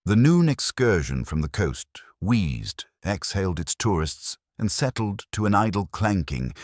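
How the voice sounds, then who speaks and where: A man reading scripted literary mystery narration in a measured, wry storytelling manner. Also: low voice, dry recording, studio quality. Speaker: audiobook-style narrator.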